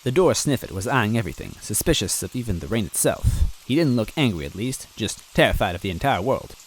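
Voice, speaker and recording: A man narrating a story in first person in a wry, measured way. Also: lowish voice, sing-song, slight background noise, poor audio. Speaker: story reader.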